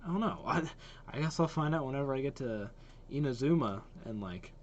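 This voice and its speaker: A man's voice: mid-range voice, expressive, fairly quick, dull audio, slight background noise. A man giving casual reaction commentary as a host, thinking aloud as he goes.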